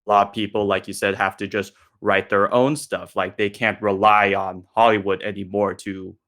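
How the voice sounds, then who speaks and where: A man's voice: lowish voice, little pitch movement, moderate pace, fairly bright tone. An American man interviewing in a casual, informal way, peppering his talk with filler words. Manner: informal, uses 'like' and 'kinda' often. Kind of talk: casual discussion.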